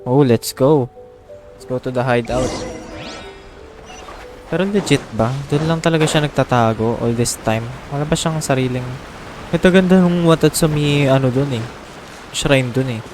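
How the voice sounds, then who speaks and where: A woman's voice: a bass voice, normal speed, long pauses, music in the background. A woman with a Filipino accent giving casual commentary while she plays a video game. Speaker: game streamer.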